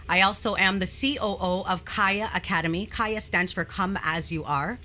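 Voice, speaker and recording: A woman's voice: mid-range voice, fairly even intonation, normal speed, bright, forceful tone, slight background noise. A woman in her thirties from Toronto, answering interview questions openly and earnestly, with frequent "um"s. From Toronto, Canada. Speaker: guest, actress and program executive.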